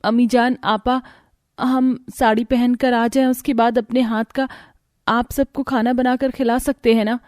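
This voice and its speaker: Woman as a gentle, pleading young bride. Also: on the high side, little pitch movement, measured pace, no echo, studio quality, lightly acted.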